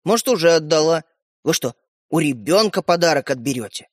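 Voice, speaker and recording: A man voicing a bewildered schoolboy in a children's story, mild but stubborn, speaking haltingly and unsurely. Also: on the high side, expressive, no room sound, clean studio sound. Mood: defensive.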